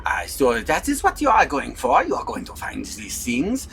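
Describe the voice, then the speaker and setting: A man's voice: medium pitch, wildly swooping pitch, gravelly, a bit bright, soft background music, exaggerated voice. A man doing a hesitant scholar. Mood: distracted. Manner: halting, full of long pauses and "how you say". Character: vague wandering male scholar NPC, Monsieur Legume. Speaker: player voicing a character.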